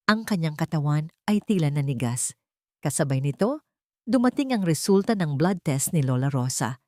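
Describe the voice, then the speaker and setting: Low-voiced woman telling a dramatic story. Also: lively intonation, moderate pace, darkish tone, dry recording, clean studio sound. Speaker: story narrator. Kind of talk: dramatic Tagalog audio drama narration.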